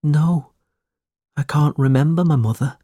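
Man voicing a frightened, plaintive orphan boy, higher-pitched. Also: unhurried, mellow, husky, dry recording, clean studio sound. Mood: fearful. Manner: sad, plaintive, fearful.

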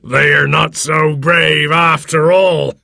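Pirate captain, male, acted by a man narrating: sly, hearty, bold, gravelly and husky, storybook adventure. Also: mid-range voice, lively intonation, slow, darkish tone, no echo, clean studio sound, voice pushed up, full character voice. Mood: scornful amusement.

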